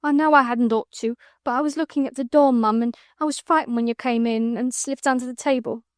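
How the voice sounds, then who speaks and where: A woman's voice: a little high, fairly even intonation, a little fast, dry recording, clean studio sound, voice pushed up, close to an everyday voice. A woman voicing a timid young scullery maid, pleading and sniffling. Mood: frightened pleading.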